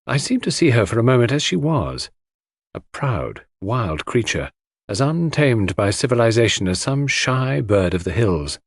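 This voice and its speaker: A man's voice: mid-range voice, big pitch swings, moderate pace, breathy edge, no room sound. A man giving a dramatic scripted narration of a classic mystery audiobook.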